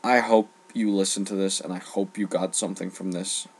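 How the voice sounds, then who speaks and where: A young man giving an earnest, exasperated, unscripted rant, speaking emphatically with halting pauses in a husky, gravelly voice. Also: low voice, fairly even intonation. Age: teens to young adult. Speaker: online ranter.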